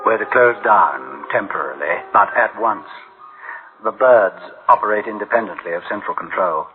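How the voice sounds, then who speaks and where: An actor playing an anxious senior official in a radio play, speaking gravely and haltingly with theatrical delivery on a tinny recording. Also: male voice, lowish voice, lively intonation, faint music behind, close to an everyday voice. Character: anxious senior official alarmed by runaway machines. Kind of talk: dramatic radio play acting.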